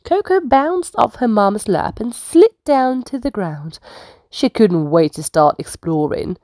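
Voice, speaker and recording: Slow, animated woman storyteller. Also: a little high, dry recording. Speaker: children's story reader. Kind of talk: expressive bedtime storybook narration.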